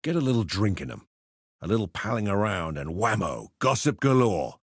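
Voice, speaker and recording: Cheeky swaggering arena brawler. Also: male voice, lowish voice, very animated, moderate pace, breathy edge, dry recording, studio quality. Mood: wry, bargaining. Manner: cheeky, slangy, theatrical, swaggering tough-guy talk. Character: roguish adult male arena fighter and pitmaster turned syndicate member. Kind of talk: video game character voice lines.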